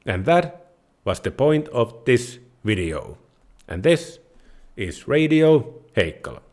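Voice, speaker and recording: A man with a Finnish accent talks viewers through the basics at an unhurried, deliberate pace. His delivery is halting, with pauses and restarted sentences, and his pitch sits in an ordinary middle range. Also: big pitch swings, a little mellow. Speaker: host of a personal finance channel. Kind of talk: unscripted explainer talking to viewers.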